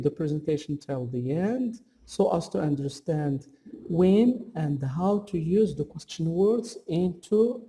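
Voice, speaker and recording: A man teaching English grammar as a scripted lesson, deliberately reading out example questions and answers in a didactic way. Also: a little high, mellow, a little hiss or hum. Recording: long hall-like reverb on the voice.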